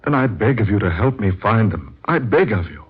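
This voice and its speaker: An actor playing an earnest, troubled writer searching for truth in a vintage radio drama, with formal, theatrical phrasing. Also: male voice, on the low side, big pitch swings, brisk, breathy edge, heavily acted. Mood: earnest pleading. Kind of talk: 1940s radio drama acting with narration. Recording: dull, muffled vintage radio recording.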